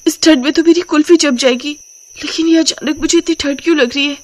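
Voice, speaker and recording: A woman's voice: high-pitched, steady tone, fairly quick, voice pushed up, natural voice. A woman voicing a young new bride in a cartoon moral story, dramatic, exasperated and complaining. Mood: alarmed, puzzled.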